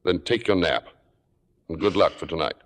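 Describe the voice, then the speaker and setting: Suave, cynical low-voiced critic. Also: male voice, expressive, normal speed, fairly bright tone. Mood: commanding. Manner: polished, sardonic, precise delivery. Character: suave, cynical, domineering male drama critic. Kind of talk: 1950s radio drama acting and narration. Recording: old radio broadcast, music behind.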